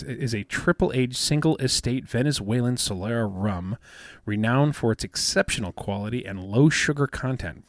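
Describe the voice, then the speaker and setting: A man in his fifties with a soothing, smooth voice, chatting casually as a podcast co-host and rambling with many fillers and restarts. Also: medium pitch, no echo, clean studio sound. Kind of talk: casual chat.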